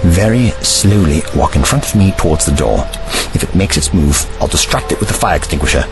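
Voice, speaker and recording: A man playing a calm, protective, wry time-travelling adventurer with measured, formal phrasing in an audio drama. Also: low-pitched, over music. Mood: hushed caution. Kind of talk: fan audio drama acting.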